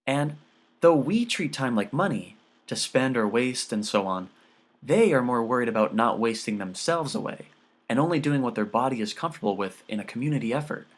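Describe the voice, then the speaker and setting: A man's voice: mid-range voice, animated, fairly quick, close to an everyday voice. A man voicing a polite, earnest young daughter who is keen on her studies. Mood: earnest explaining. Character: polite, keen college-age daughter, earnest and bright-minded.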